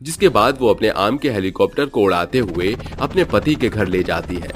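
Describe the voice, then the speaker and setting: A man narrating a cartoon horror story in a steady, dramatic way. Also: fairly low pitch, moderate pace, a little hiss or hum.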